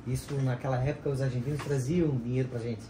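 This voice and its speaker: A man's voice: medium pitch, fairly even intonation, fast, mellow. A man chatting casually on a podcast, with stammering restarts and easy laughter. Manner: laughing, slightly stammering chat. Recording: roomy echo with faint hiss.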